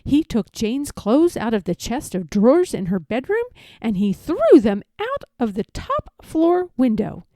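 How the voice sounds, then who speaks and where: A woman reading a children's story aloud in an expressive, measured, emphatic storytelling manner. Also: on the high side, dry recording, clean studio sound. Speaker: storybook reader.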